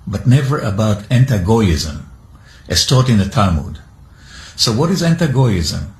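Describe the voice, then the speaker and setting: A man's voice: mid-range voice, roomy sound. A man from Israel delivering an earnest, explanatory scripted video monologue.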